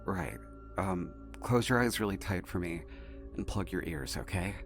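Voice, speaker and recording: Irreverent, weary captive, male actor. Unhurried, emphatic, casual and sardonic, for audio drama. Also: low-pitched, lively intonation, faint music behind. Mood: gentle, wry.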